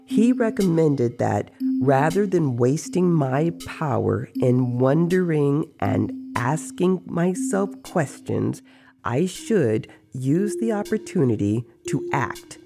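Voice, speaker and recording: Slow, creaky-voiced storyteller over music. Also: mid-range voice, sing-song. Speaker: narrator. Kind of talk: dramatized audiobook storytelling.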